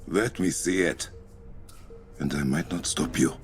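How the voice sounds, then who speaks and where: A man's voice: a bass voice, unhurried, faint music behind. An actor playing a resolute, protective young warrior king in a video game, with an African accent and a formal, commanding manner. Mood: grave, measured. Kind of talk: video game cutscene acting.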